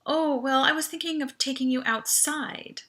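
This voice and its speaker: A woman voicing an awkward, earnest, lovestruck teenage boy in a sci-fi storybook, halting and self-conscious. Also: high voice, steady tone, bright, forceful tone, voice pushed up. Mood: awkward, eager.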